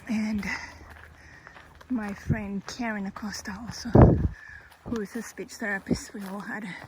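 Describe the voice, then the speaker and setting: A woman in her fifties from Colombia with a Colombian Spanish accent, slowly telling her life story, pausing and correcting herself. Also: mid-range voice, steady tone. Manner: slow, thoughtful, with pauses and self-corrections. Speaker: hiker and vlogger. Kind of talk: personal life story, casual. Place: outdoors on a trail. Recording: outdoor recording with background noise.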